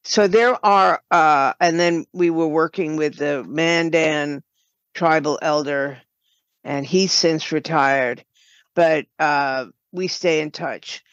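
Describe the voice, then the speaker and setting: A woman explains her creative work as an interview guest. She speaks very slowly, often breaking off and restarting her sentences. The recording is dry and somewhat dull, with little room sound. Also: low-pitched, steady tone, clean tone, no echo. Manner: halting, with broken-off sentences. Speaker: guest, writer and audio drama producer.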